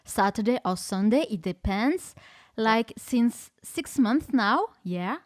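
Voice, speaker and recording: A woman's voice: on the high side, lively intonation, takes it slowly, no room sound, clean studio sound. A woman from France with a French accent, hosting a conversational podcast interview in English as a non-native speaker. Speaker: podcast host.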